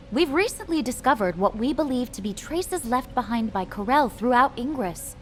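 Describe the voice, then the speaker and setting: A woman's voice: a little high, slight background noise. An actress playing a principled female military officer in a video game, formal, firm and earnest. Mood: formal, businesslike. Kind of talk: video game cutscene acting.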